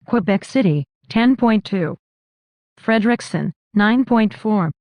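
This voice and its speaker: A woman's voice: mid-range voice, animated, slow, a little mellow, no room sound. Synthetic female emergency announcer, very dry sound. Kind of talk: scripted emergency broadcast announcement.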